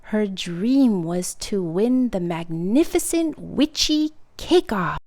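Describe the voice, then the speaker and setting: A woman's voice: medium pitch, lively intonation, takes it slowly, a little mellow, dry recording, studio quality. A woman reading a children's picture book aloud expressively, drawing out key words for dramatic emphasis. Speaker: storytime reader.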